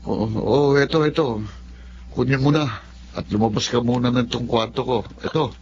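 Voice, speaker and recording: A man's voice: mid-range voice, fairly even intonation, normal speed, muffled recording, background noise, close to an everyday voice. A man playing a proud, evasive young spendthrift with a Filipino accent in a radio drama. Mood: irritated.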